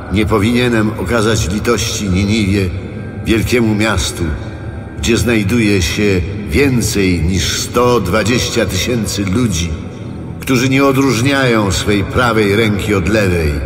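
A man playing God in an audio Bible drama, speaking in a solemn, commanding, measured manner. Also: lowish voice. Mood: solemn questioning. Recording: long cavernous echo under loud music.

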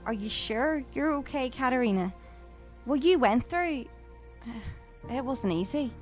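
Woman playing a caring, slow-spoken young companion. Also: sits high, expressive, faint music behind. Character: caring, plucky young female travelling companion. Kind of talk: audio drama acting.

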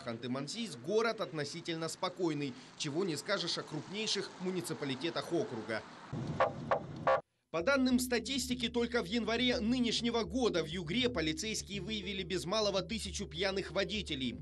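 A man's voice: high voice, background noise. A male reporter reading a scripted news voice-over with a wry, ironic touch.

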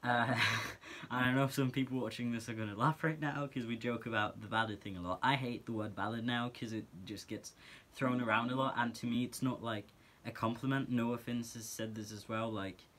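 Bright-voiced Norwegian teenage guy. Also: mid-range voice, fairly even intonation, a little fast. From Norway. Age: teens. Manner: earnest, chatty, many filler words. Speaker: vlogger. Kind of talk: casual talk to camera. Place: small bedroom indoors.

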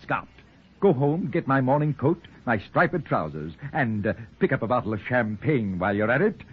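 A man's voice: medium pitch, sing-song, moderate pace, breathy edge. Casting: wry, genteel older father, theatrical and swooping, on tinny vintage radio. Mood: grandly wry. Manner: suave, theatrical, playful. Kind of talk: 1940s radio acting. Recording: tinny, hissy 1940s radio recording.

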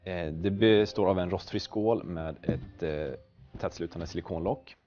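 A young man with a very low voice demonstrates a product, pausing and hesitating as he goes. The recording is dull, with clear background noise and some clicks. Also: mellow. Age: young adult. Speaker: product manager presenting. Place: basement prototype workshop, indoors.

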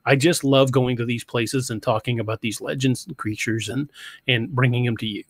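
A man's voice: mid-range voice, fairly even intonation, moderate pace, dry recording, studio quality. A middle-aged man, hosting a casual livestream podcast, often restarting his sentences. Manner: stammering restarts, chatty and joking. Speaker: podcast host. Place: home room.

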